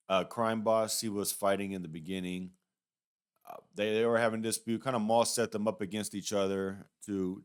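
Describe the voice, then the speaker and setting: Low, clear-voiced man chatting casually. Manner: rambling, hedging, conversational. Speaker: YouTube reviewer. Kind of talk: casual, unscripted review commentary.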